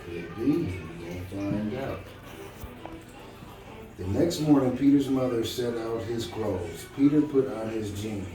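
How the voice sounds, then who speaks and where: A man reading a storybook aloud in an encouraging, conversational manner, pausing to check that the listener follows. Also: fairly low pitch, animated. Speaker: storybook reader. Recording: very echoey, distant mic, music behind.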